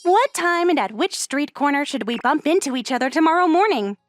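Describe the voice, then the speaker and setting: A woman playing a poised, sheltered high school girl in an anime-style comic dub, speaking with composed, polite earnestness. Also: animated, fairly quick, no echo, faint music behind. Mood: confident, sincere.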